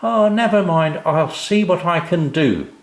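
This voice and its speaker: Man putting on a high-voiced, kindly odd-job man. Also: lively intonation, a little slow, echoey room. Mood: reassuring. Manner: folksy, repeats his phrases. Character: kind, cheery old odd-job man, nosy, murmuring.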